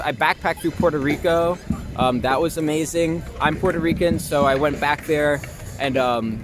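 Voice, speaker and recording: A young man chatting casually in an interview, hesitating often and using filler words. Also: on the high side. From New York. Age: young adult. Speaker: interview guest, actor and filmmaker. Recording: noisy outdoor city traffic.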